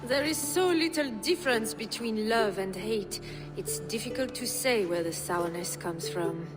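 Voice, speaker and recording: A woman's voice: medium pitch, animated, unhurried, close to an everyday voice. An actress playing a poised, bitter noblewoman in a video game, speaking in arch, dry, elegant phrases. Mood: bitter, rueful. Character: poised, bitter Frankish noblewoman, unhappy wife. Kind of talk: video game cutscene acting. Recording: long hall reverb, prominent music.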